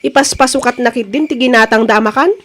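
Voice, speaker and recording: A woman with an Ilocano accent playing a brisk small-eatery woman in a comedy radio drama. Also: fairly high pitch, fairly bright tone. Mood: brisk, practical.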